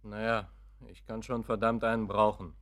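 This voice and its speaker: An actor playing an irritable, evasive, self-pitying grown son in a German radio drama, sullen and snappish. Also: male voice, lowish voice, flat delivery, normal speed, fairly bright tone. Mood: sullen, weary.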